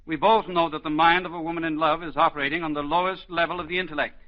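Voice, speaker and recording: An actor playing a gruff, sardonic older psychoanalyst who scolds and mocks his former pupil, in 1940s radio acting. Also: on the high side, steady tone, quick pace, lightly acted. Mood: scolding. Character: gruff older male psychoanalyst mentor, teasing, stubborn. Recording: dull 1940s radio broadcast.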